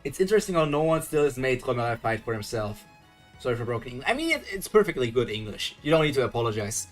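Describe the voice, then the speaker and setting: A man's voice: mid-range voice, sing-song, quick pace, faint music behind. A young man from Germany with a German accent, chatting loosely as he reacts to a webtoon live and answers his chat. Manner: chatty, thinks aloud, restarts and chuckles, answers chat. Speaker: streamer reading webtoons live.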